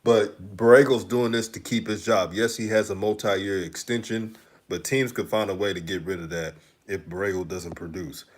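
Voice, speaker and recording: A man's voice: fairly low pitch, fairly even intonation, moderate pace. A man from Houston with a slight Texan accent, hosting a live stream, chatting loosely and laughing often while reading comments. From Houston, Texas. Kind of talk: casual live-stream talk, reading the chat.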